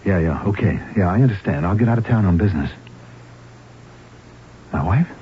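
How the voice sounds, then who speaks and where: A low-voiced, desperate husband in a radio thriller, pressing his case with menace and pleading. His delivery swings widely in pitch. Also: lively intonation, brisk. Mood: uneasy. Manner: urgent, insistent. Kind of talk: 1970s radio drama acting. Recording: dull, noisy vintage radio broadcast.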